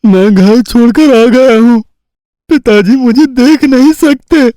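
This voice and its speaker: Gullible teenage village boy for a cartoon fairy tale male actor: earnest, unhurried, mellow. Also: very high-pitched, dry recording. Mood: hurt. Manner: naive, slow-witted, earnest. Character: gullible simple-minded eighteen-year-old village lad, eager to please.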